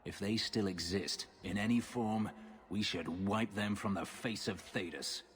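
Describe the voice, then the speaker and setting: Low-voiced, indignant male warrior played by an actor. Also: measured pace, slight background noise, natural voice. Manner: intense, indignant. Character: bitter, righteous male warrior. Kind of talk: video game character acting.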